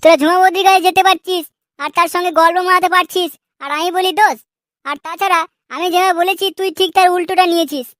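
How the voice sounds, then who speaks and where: Sharp-tongued cartoon character, childlike very high pitch, rapid, mocking, crude comedy. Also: fairly even intonation, no echo. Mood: argumentative. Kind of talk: Bengali comedy cartoon dubbing.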